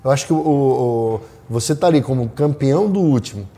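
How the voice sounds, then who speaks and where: Brazilian man, casual panelist, mellow timbre, very lively, repeats himself. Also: medium pitch, fairly quick. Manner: repeats words and phrases. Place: small indoor studio set.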